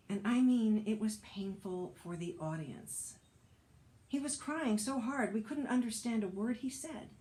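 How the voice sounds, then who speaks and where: A woman reading a personal essay aloud as a narrator, with a wry, measured storytelling delivery. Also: mid-range voice, darkish tone. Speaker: audiobook narrator, actor and author reading an essay.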